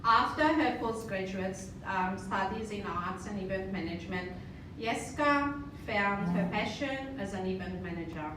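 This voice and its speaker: A woman with a Hungarian accent haltingly reads out an introduction for a guest speaker as an event host. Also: mid-range voice. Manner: halting, stumbling reading. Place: roomy live event venue. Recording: echoey, distant, noisy room recording.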